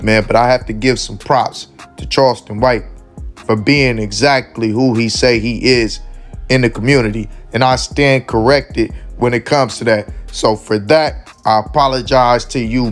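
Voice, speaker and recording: A man hosts a casual commentary, his voice hoarse and fraying as he loses it. He talks earnestly in a mid-range voice, often ending phrases with "man," and faint music runs underneath. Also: medium pitch. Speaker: host of a commentary channel.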